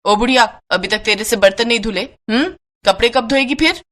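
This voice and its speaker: A woman's voice: fairly high pitch, lively intonation, brisk, fairly bright tone. Woman playing a harsh, bossy middle-aged aunt. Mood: scornful. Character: cruel, scolding middle-aged daughter-in-law and aunt.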